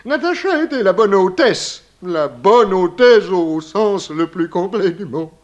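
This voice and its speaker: Excitable, high-pitched, theatrical gossip. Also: male voice, takes it slowly, dull audio. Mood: tearful admiration. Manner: theatrical, hesitant repetitions, florid speech. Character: effusive, tearful, gossipy male boarding-house lodger. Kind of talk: 1960s French radio play acting.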